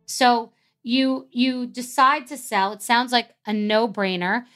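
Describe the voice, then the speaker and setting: A woman hosting a podcast, chatty and asking quick follow-up questions. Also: fairly high pitch, a bit bright, soft background music. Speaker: podcast host. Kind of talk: casual interview and read ad.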